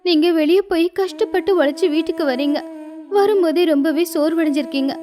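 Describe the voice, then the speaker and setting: A woman's voice: extremely high pitch, a little fast, voice pushed up. A woman voicing a sorrowful, devoted bedridden wife in a storybook tale, plaintive and apologetic. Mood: tearful, sad.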